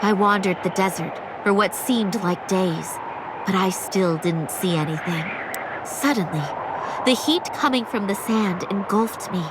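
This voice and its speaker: A woman's voice: medium pitch, measured pace, noisy background, lightly acted. A woman playing a determined young female vampire heir in a game, speaking earnestly and with open emotion. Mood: dazed, weary. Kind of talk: dramatic game voice acting with narration.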